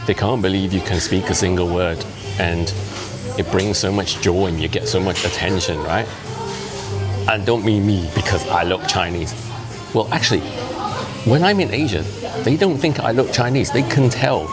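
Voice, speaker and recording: A man in his fifties, a native Cantonese speaker, talks in a low, chatty voice straight to camera. He is animated and slips into little impressions of the people he quotes. Music plays clearly behind him. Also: moderate pace. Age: 50s. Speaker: vlogger. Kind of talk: casual monologue to camera.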